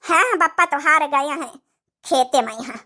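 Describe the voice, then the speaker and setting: A woman's voice: high-pitched, normal speed, sharp tone. A woman voicing an ailing, self-sacrificing old village mother in an Awadhi comedy cartoon, plaintive and soothing. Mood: reassuring.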